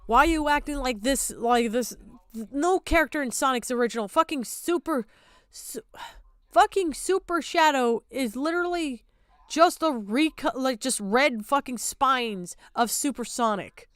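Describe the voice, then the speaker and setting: A woman's voice: high-pitched, unhurried, clear, resonant tone, dry recording, studio quality. A woman streamer giving a casual, blunt reaction rant, swearing heavily and indignantly.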